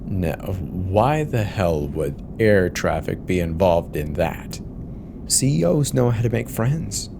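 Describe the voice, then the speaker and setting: A man's voice: low-pitched, big pitch swings, slow pace, gravelly, noisy background, heavily acted. A man playing an uneasy, cynical airline co-pilot in a horror audio drama, speaking in a tense, resigned way. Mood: grim, resigned. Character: uneasy, cynical male co-pilot in a failing plane.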